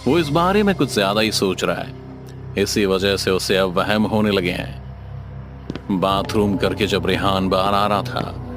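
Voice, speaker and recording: A man telling a scripted horror story in a dramatic storytelling style over background music. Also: on the low side. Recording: music under the voice.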